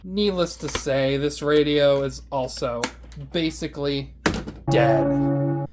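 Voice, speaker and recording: A man with a high voice, casually showing his hobby collection in a sarcastic, exasperated, exaggerating rant. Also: takes it slowly, loud music behind the voice. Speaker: hobbyist video host. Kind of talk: casual show-and-tell rant.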